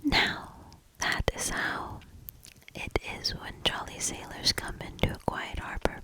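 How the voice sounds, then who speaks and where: A woman doing soft ASMR storybook reading and quiet commentary in a breathy, gentle, hushed whisper. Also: poor audio. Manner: slow, gentle, hushed delivery.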